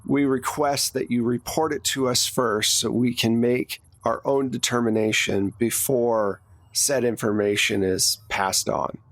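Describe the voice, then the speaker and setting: A man's voice: medium pitch, steady tone, unhurried, fairly bright tone, no echo, rough recording. A guarded female boss in a tabletop spy game, played by a man in his own register. The delivery is formal and bureaucratic, choosing words with care. The sound is close and dry.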